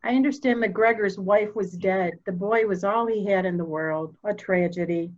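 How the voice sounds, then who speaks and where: A woman playing a proud, formal, candid elderly mother in a murder mystery reading, speaking deliberately. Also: mid-range voice, dull audio, natural voice. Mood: bitter, frank. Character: proud, cold old mother, candid and slightly deaf.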